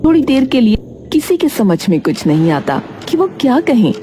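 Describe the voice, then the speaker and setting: A woman's voice: fairly high pitch, sing-song, normal speed, mellow, a little hiss or hum. A woman narrator reading a scripted story in a dramatic storytelling style.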